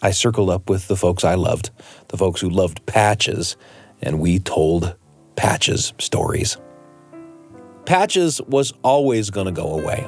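A man's voice: deep voice, a little mellow. A man hosting a radio show, telling personal stories and chatting in a studio. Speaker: radio show host and storyteller. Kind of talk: personal storytelling and studio chat.

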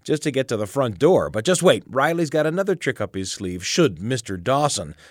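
A man's voice: mid-range voice, sing-song, fairly quick, dry recording, clean studio sound. A man hosting a program, giving scripted storytelling narration. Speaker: host.

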